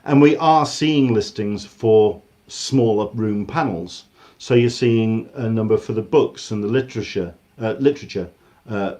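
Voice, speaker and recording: A man with an English accent talks through a casual livestream from his home, rambling and doubling back mid-sentence. His voice sits in an ordinary middle register and comes through a video call. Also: medium pitch. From England. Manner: rambling, restarts often, animated. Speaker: host. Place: home room. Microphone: video call with earphones.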